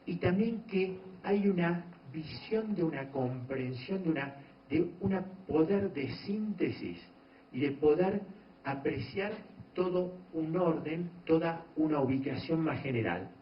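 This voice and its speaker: Echoey man lecturing slowly. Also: on the high side, animated, slight background noise. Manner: measured, explanatory, frequent pauses and restarts. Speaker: doctor giving a talk on meditation. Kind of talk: lecture to an audience. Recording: echoey room, dull lo-fi sound.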